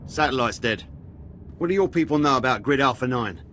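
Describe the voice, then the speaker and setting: A brisk male army captain for a sci-fi game, guarded and loyal. His mid-pitched voice moves around a lot, with faint noise behind. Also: expressive, bright, forceful tone. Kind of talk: video game voice acting.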